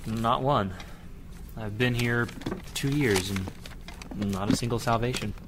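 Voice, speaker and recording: Man playing a slow, fervent missionary preacher. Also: medium pitch, noisy background, close to an everyday voice. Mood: weary, disheartened. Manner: grave, fervent, deliberate. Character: devout, burdened missionary preacher in China. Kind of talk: audio drama acting.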